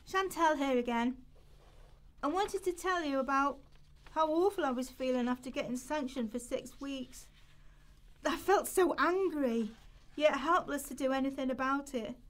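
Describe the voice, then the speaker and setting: High-voiced woman playing despairing young woman. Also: natural voice. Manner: confessional first-person storytelling. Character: Chantal, anxious, despairing young woman on benefits, confiding. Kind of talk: rehearsed radio play monologue. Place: radio play rehearsal.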